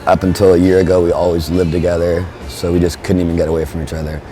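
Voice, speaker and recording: A young man, a band's frontman, talking in a relaxed, rambling way with lots of fillers. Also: sits low, fast talker, soft background music. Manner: laid-back, rambling, with frequent fillers. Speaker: band frontman. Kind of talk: relaxed interview talk.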